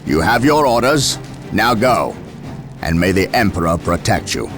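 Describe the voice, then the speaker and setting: An actor playing a grave, authoritative space marine commander in a video game, speaking in a solemn, measured way. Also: male voice, deep voice, big pitch swings. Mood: solemn, commanding. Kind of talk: video game cutscene and mission voice acting. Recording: dramatic music behind.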